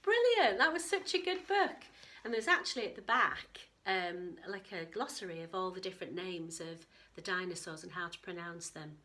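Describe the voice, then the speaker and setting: A woman teacher giving an expressive storytime read-aloud for young children, with chatty asides to her listeners. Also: on the low side, normal speed.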